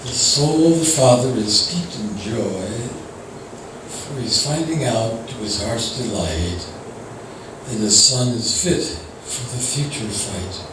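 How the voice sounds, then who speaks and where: A man's voice: medium pitch, takes it slowly. A man reading a poem aloud as a congregation member at a service. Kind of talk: poetry recitation. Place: large echoey indoor room at a church service, mic at a distance. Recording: distant, echoey hall with clear noise.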